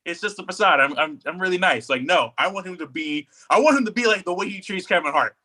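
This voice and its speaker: A man co-hosting a podcast in casual, slangy, opinionated and animated chat. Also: high-pitched, fast. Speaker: podcast co-host. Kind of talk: casual pop culture chat.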